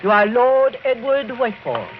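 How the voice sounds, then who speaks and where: A man's voice: very high-pitched, big pitch swings, normal speed, soft background music. An actor playing a formal, deferential French household servant with a French accent in a vintage radio drama, polite and clipped, a man. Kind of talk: 1940s radio acting. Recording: noisy, muffled old radio recording.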